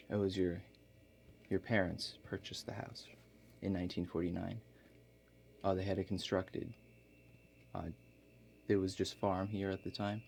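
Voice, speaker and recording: A man playing a laid-back construction contractor in a soft-spoken ASMR role-play, talking in a halting, matter-of-fact way. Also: sits low, creaky, heavily acted. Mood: quietly inquisitive. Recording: faint background noise.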